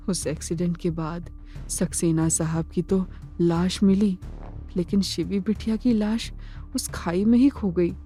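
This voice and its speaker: A woman voicing a sorrowful older male servant, recounting gravely in an audio drama. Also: mid-range voice, mellow, close to an everyday voice. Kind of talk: Hindi audio drama character acting.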